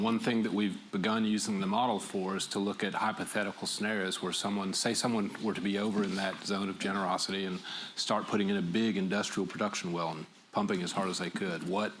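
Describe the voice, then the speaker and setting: A man with a very low voice explains technical points to a meeting audience. He talks steadily and plainly, with a faint hum of the room behind him. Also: a bit bright. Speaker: groundwater program presenter. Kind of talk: public meeting Q&A.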